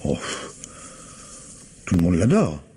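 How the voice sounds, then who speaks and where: A man's voice: low voice, huge pitch swings, slow, dark tone, slightly rough, heavily acted. An actor playing an anxious, evasive middle-aged bourgeois businessman in a radio mystery, hesitant, stammering and deferential. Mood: defensive. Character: flustered, anxious, evasive 42-year-old bourgeois businessman husband. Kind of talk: radio mystery drama acting.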